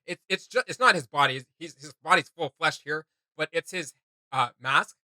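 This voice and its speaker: A man's voice: on the high side, normal speed, sharp tone, no echo. A man chatting casually as co-host of a reaction video, rambling and often searching for words.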